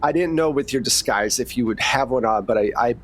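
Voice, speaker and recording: A man's voice: medium pitch, brisk, a little hiss or hum. Casual man running a tabletop game. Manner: conversational, explanatory. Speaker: game master.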